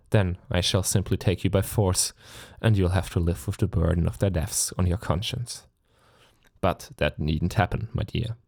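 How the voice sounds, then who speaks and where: Guy doing a low gravelly ancient vampire, sadistic and courtly, taunting, intimate asmr roleplay. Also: a little mellow, no room sound, clean studio sound. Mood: coldly threatening. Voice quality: low, creaky. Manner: theatrical, taunting, courtly endearments, commanding. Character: centuries-old male vampire lord, sadistic, silkily menacing, possessive. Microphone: close studio mic, dry.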